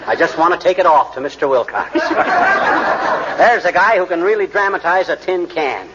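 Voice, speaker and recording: A blustery middle-aged American husband acted by a man in a 1940s radio comedy, slightly high-voiced and swooping as he grumbles and wisecracks. His folksy slang comes through a dull, noisy old recording. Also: animated, moderate pace, lightly acted. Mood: wisecracking.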